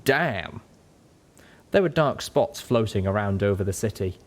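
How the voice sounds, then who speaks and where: A man's voice: lowish voice, expressive, measured pace. A man reading a scripted first-person adventure story as an audiobook narrator. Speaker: audiobook reader.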